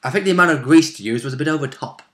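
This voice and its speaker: A man's voice: on the high side, expressive, rapid-fire, fairly bright tone. A man chatting casually as co-host about a tabletop role-playing session, with wry, jokey interjections and a readiness to chuckle. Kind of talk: casual chat.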